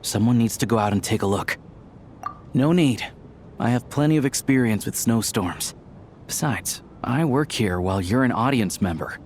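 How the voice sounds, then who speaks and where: A man's voice: mid-range voice, expressive, moderate pace, slight background noise, close to an everyday voice. An actor voicing a friendly, capable young male theater worker in a game cutscene, easygoing and helpful.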